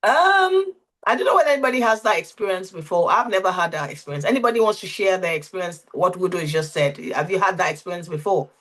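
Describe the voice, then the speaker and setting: A woman's voice: lowish voice, lively intonation, quick pace, fairly bright tone. A woman facilitating a career workshop on a video call, who keeps checking in with the group and asking questions. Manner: coaching, asks the group frequent questions.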